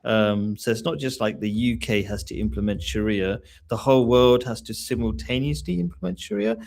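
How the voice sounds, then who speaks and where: A man's voice: mid-range voice, moderate pace, gravelly, a little mellow. A man from England hosting a live online discussion, asking questions and often restarting his sentences. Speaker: host.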